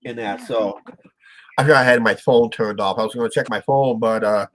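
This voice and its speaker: A man's voice: fairly low pitch, fairly even intonation, a little fast, slight background noise. A man hosting a casual live-stream chat, rambling with lots of uh's and restarts, his voice sounding stuffed up and nasal. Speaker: show host.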